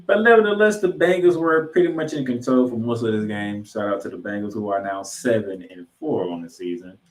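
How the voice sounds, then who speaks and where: A man co-hosting a sports podcast, recapping football and reading stats with emphatic, drawn-out key figures. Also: fairly low pitch. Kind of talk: football recap commentary with stat read-outs. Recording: echoey, roomy sound.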